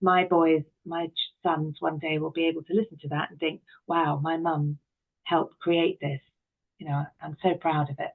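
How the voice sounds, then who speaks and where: A middle-aged woman with noticeable vocal fry, speaking as an interview guest. She is earnest and emphatic, working out her thoughts as she goes. The sound is slightly dull and lo-fi. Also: deep voice, level tone, moderate pace. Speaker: interview guest, writer and producer. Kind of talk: reflective interview chat.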